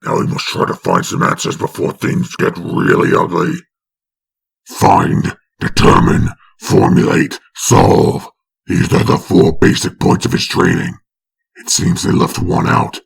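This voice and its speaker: A man with a very gravelly, breathy rasp giving dramatic scripted narration of a comic book. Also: extremely low pitch, very animated, unhurried.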